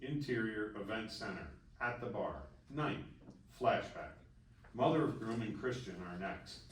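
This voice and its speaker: Low-voiced man reading stage directions, roomy sound. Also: lively intonation, measured pace. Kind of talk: scripted narration at a screenplay table read. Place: festival table read in a room. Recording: echoey room, distant mic, faint noise.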